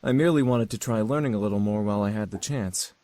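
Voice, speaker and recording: Guy acting as a polite bookish demon man from a visual novel, lowish voice, really dry sound. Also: fast talker, dark tone, dry recording, close to an everyday voice. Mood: earnest, lecturing. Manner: formal, courteous, explanatory. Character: polite, scholarly, reserved male incubus demon.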